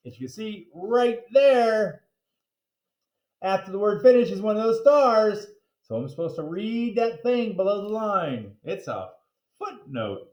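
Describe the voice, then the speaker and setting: Very animated high-voiced man reading a storybook. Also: reverberant. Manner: theatrical, playful delivery with emphatic drawn-out words. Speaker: teacher reading aloud to a class. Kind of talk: animated children's storybook read-aloud.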